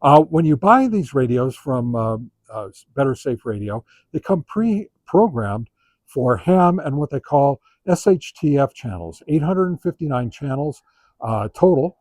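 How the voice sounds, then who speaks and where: A man giving a casual product review as a monologue, halting and often pausing mid-sentence. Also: mid-range voice, sing-song, a little mellow, no room sound. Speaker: radio gear reviewer.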